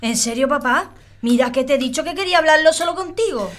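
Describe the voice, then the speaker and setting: Young cheeky daughter, high pitched, spain spanish, radio play, slight clicks behind. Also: female voice, lively intonation, quick pace, a little hiss or hum. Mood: teasing, indignant. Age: young adult. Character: young adult daughter, cheeky university student. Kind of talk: naturalistic audio drama acting.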